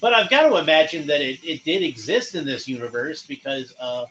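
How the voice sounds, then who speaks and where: Man giving halting fan commentary, dull noisy recording. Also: on the high side, expressive, fairly bright tone. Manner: halting, thinks aloud, conversational. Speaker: host of a cartoon watchalong commentary. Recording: dull lo-fi home recording, background noise.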